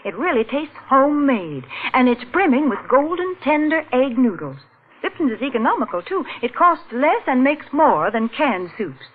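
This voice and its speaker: A woman announcer giving a chatty, homespun sponsor pitch in 1940s radio style. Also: sing-song, normal speed. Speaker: commercial announcer and host's foil. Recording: thin, narrow old radio recording.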